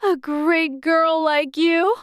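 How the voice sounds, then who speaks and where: A woman's voice: fairly even intonation, slow, no room sound, clean studio sound. A woman voicing a curious little girl who chatters and exclaims to herself in a dramatized storybook audiobook. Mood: scolding herself. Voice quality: childlike, very high voice. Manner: talks to herself, musing and exclaiming.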